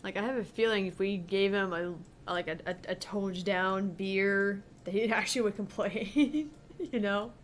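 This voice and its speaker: A woman's voice: mid-range voice, normal speed, a little hiss or hum. A woman co-hosting a gaming show, reading a visual novel aloud and commenting casually.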